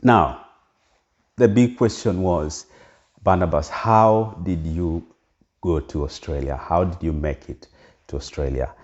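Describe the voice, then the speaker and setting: A middle-aged man with a Kenyan accent speaks straight to his viewers in an exceptionally low, deep voice. He talks earnestly and hesitantly, with many fillers and restarts. The sound is dull and lo-fi. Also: slow, pauses often, a little mellow. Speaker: vlogger. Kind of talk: casual direct-to-camera talk.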